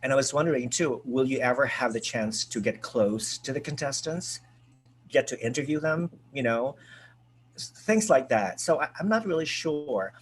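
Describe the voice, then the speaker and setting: A man hosting a casual group video call, chatty and excitable, with lots of fillers and restarts. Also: mid-range voice, moderate pace, slight background noise. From United States. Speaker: host.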